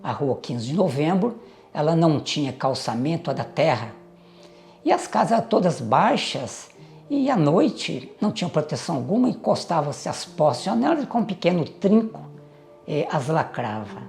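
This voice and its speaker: An older man, recalling his family history in a measured, reflective way with pauses and hesitant fillers. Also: fairly high pitch, big pitch swings, creaky, a little mellow, faint music behind. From Minas Gerais, Brazil. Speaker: interviewee recounting family history. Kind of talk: reminiscing oral history.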